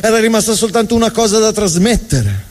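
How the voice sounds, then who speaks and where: A man with a fairly high voice tells a comic story as a theatrical monologue. He is performing live on an indoor stage, and the sound carries a long hall reverberation. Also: lively intonation, brisk, a little mellow.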